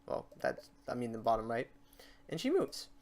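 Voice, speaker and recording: Man, mid-pitched voice, informal tutorial host, unscripted step-by-step narration, talks to himself. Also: sing-song, moderate pace, gravelly. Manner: informal, talks to himself while clicking through steps. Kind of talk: casual unscripted screen-recorded tutorial narration.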